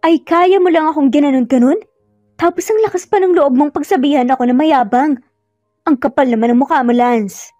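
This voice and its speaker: A woman's voice: high-pitched, brisk, dry recording, lo-fi sound, soft background music, voice pushed up, lightly acted. A woman voicing a bitter, wounded and defiant young woman in an audio love drama, accusing and indignant. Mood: angry, accusing. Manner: indignant, accusing, sharp rhetorical questions.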